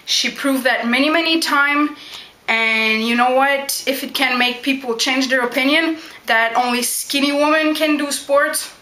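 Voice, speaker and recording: Bright-voiced young woman, chatty. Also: high voice, a little slow. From Montreal, Quebec, Canada. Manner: chatty, direct, keeps asking "you know what?". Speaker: backyard wrestler. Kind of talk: casual Q&A vlog. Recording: echoey room.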